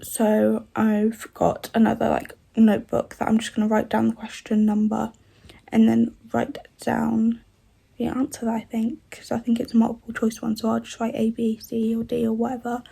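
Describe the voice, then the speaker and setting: A twenty-year-old woman from the UK chatting in a rambling vlog, her voice rough from a cold. The tone is gravelly and husky, low and mellow, and it sits on a fairly flat pitch. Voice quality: rough, odd-sounding voice. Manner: rambling, many "like" and "and that" fillers. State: congested with a cold. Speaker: vlogger. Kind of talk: casual vlog chat.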